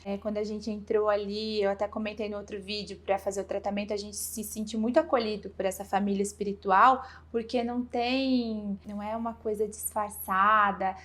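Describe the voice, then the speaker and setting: A woman's voice: mid-range voice, level tone, fairly quick, hardly any pauses, fairly bright tone. A woman hosting an informal video interview, speaking effusively and directly to viewers. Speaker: host interviewing a guest. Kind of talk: informal video channel introduction and conversation.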